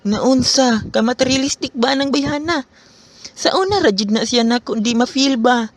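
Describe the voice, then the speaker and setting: A man's voice: extremely high pitch, moderate pace, no room sound. Man playing a teasing gossipy best friend. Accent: Cebuano. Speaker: friend Bentong. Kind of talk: student radio drama acting.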